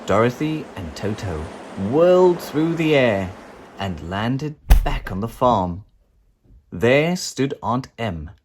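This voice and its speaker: A man narrating a children's storybook in an expressive, dramatic way. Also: mid-range voice. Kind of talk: children's storybook read-aloud.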